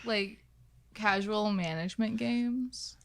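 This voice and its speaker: A woman's voice: medium pitch, slow pace, vocal fry. A woman guest on a podcast, chatting informally and explaining a video game with plenty of casual filler words.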